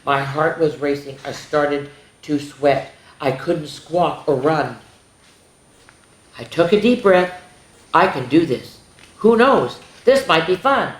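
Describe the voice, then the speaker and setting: A woman voicing a proud, excitable turkey who tells its tale in dramatic picture-book rhyme. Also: deep voice, slow pace, long pauses. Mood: nervous, resolved. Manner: boastful, dramatic rhyming storytelling. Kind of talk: rhyming picture-book read-aloud. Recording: roomy, echoing room sound.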